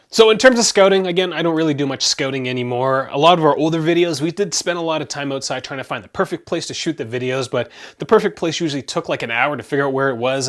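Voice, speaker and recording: A young man from Canada, hosting his own tech review channel, talking casually to camera with lots of fillers and self-corrections. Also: mid-range voice. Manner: chatty, rambling, frequent self-corrections and fillers.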